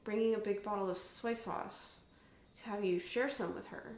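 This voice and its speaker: A woman's voice: medium pitch, fairly even intonation, normal speed, darkish tone, slight background noise, lightly acted. A woman voicing an earnest, serious teenage boy in a story reading. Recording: roomy echo with music behind.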